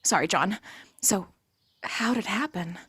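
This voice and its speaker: A woman playing a nervous but game, soft-hearted zombie hunter in a comedic audio play, hesitant and earnest. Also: mid-range voice, expressive, a little slow, slightly rough, heavily acted. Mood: sympathetic.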